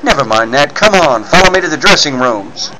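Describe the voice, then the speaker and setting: Man playing a blustery, bright-voiced newspaper chief. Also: fairly high pitch, expressive, fairly quick, faint music behind, low-quality recording. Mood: impatient, dismissive. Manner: emphatic, blustering. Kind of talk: 1940s radio serial acting.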